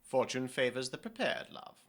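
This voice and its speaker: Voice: mid-range voice, big pitch swings, normal speed, lightly acted. An actor playing a sardonic, flirtatious demon in an audio drama, with arch, teasing and formal phrasing. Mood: playful, suave. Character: sardonic, flirtatious, theatrical demon lover, worldly and weary.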